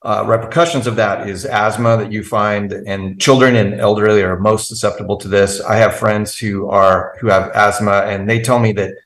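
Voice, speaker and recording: A man's voice: on the low side, fairly even intonation, moderate pace, hardly any pauses, fairly bright tone, reverberant, rough recording. A man as an interview guest, explaining at length in an earnest, methodical way with frequent restarts. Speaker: guest expert.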